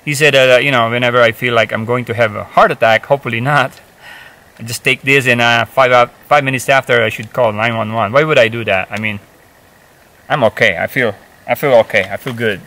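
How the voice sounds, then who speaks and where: A man's voice: mid-range voice, fairly even intonation, fairly quick, sharp tone. A man in his fifties talking casually to camera while sitting outdoors in a forest, hesitant, with many fillers and restarts. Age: 50s. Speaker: hiking vlogger. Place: forest, outdoors, seated on a rock.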